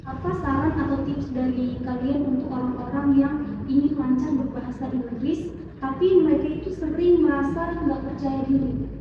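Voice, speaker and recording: A woman's voice: high-pitched, steady tone, moderate pace. Woman interviewer, formal questions, dull noisy recording. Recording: distant echoey dull recording with noise.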